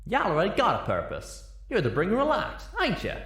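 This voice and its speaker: A man's voice: high voice, wildly swooping pitch, a little fast, a bit bright, reverberant, voice pushed up, exaggerated voice. A man voicing a stern but caring mare. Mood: firm, reassuring.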